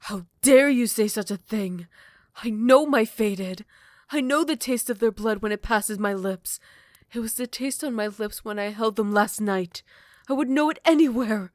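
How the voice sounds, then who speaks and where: A woman's voice: medium pitch, unhurried, dry recording, close to an everyday voice. A woman voicing a possessive female vampire queen in an ASMR roleplay, moving from close, tender whispers to furious threats. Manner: soft close whispers turning to furious shouting. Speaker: voice actor.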